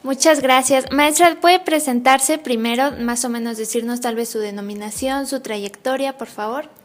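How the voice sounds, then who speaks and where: A woman's voice: high-pitched, normal speed. A young woman with a Mexican Spanish accent hosting her own channel, asking a guest questions in a friendly, polite way. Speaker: interviewer and channel host. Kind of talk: casual interview.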